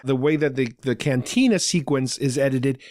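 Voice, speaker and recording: A man's voice: medium pitch, normal speed, darkish tone, no echo. A man hosting a podcast, casually recapping in a conversational way with loose paraphrases of dialogue. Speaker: podcast host. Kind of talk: casual fan discussion, recapping a radio drama.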